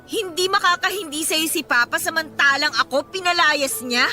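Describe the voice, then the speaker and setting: Sharp, high-pitched, indignant mother. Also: normal speed, faint music behind. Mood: indignant, hurt. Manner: biting, sarcastic retorts. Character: indignant, sarcastic mother, bitterly wronged by her half-sister. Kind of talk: emotional radio drama acting.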